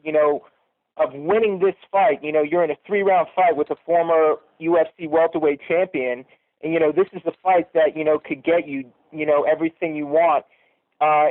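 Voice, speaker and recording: A man's voice: fairly high pitch, no echo, phone-quality sound. A man asks long-winded reporter's questions over a telephone conference line, full of asides. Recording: thin phone conference line.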